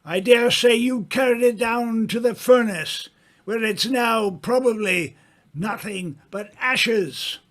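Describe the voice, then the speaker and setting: A man acting in an old-time radio mystery as a suave, smug gentleman sleuth, with a theatrical, accusing delivery. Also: extremely high pitch, takes it slowly, heavily acted. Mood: confident, expository.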